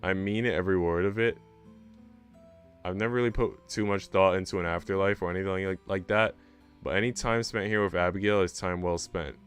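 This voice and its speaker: A man's voice: sits low, steady tone, fairly quick, smooth, faint music behind. A young man streaming a visual novel read-aloud, narrating with casual, slangy reactions.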